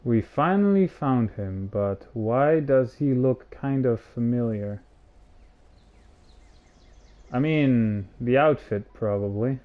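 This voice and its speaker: A man's voice: medium pitch, expressive, slow, hardly any pauses. A man streaming a game and giving casual live commentary as he plays. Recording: slightly dull stream mic.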